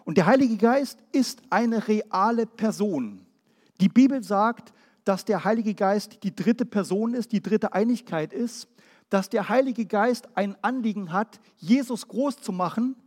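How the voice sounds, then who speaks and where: A man from Berlin preaching a Pentecostal sermon, firing off rhetorical questions and then dropping quiet and low. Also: big-room sound. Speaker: pastor.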